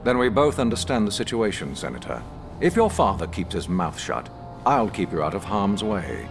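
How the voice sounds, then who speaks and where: An actor playing a smug imperial captain in a video game, menacing beneath mock courtesy. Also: male voice, on the low side, big pitch swings, moderate pace, gravelly. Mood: veiled threat. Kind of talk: cinematic video game acting. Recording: very loud music behind.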